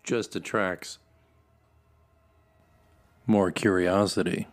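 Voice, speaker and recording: A man reading a scripted spooky story as host, with a measured, dramatic delivery. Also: deep voice, fairly even intonation. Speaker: host reading listeners' stories. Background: music.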